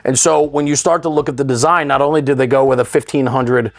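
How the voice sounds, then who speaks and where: A man's voice: mid-range voice, fairly even intonation, fast, dry recording. A man hosting a studio interview, explaining things in an enthusiastic way. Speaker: show host interviewing a guest. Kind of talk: studio interview about fire apparatus.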